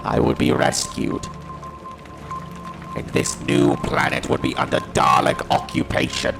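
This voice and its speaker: Casting: stranded alien war machine, cold and fanatical, gravelly robotic rasp, slow halting delivery. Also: male voice, on the high side, monotone, music in the background. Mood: grim, determined. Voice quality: harsh, grating, electronic. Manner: halting, staccato, declamatory. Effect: robotic voice modulation. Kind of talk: dramatic first-person narration in audio drama.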